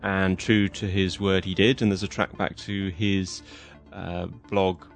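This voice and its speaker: A man hosts his podcast with a low-pitched voice. He talks in a relaxed, chatty way, full of little fillers and restarts. Faint music plays underneath. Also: a little slow. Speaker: podcast host. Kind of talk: casual podcast narration.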